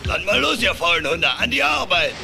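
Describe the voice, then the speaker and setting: A man playing a crooked dockside salvager in a cartoon audio play, gruff, mocking and bossy, with a gravelly voice. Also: high-pitched, very animated, brisk, background noise, full character voice. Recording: music behind the voice.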